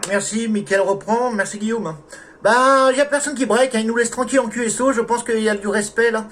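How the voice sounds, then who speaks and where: A man's voice: very high-pitched, a little fast, a bit bright, slight background noise. A man from France chatting casually as an amateur radio operator, with courteous sign-offs. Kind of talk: casual radio contact chat.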